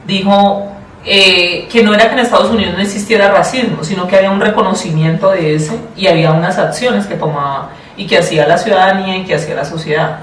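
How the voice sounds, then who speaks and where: A woman with a Colombian accent answering interview questions in a thoughtful, deliberate way, often repeating and rephrasing herself. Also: mid-range voice. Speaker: interview guest, public education official. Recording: roomy, distant mic, faint noise.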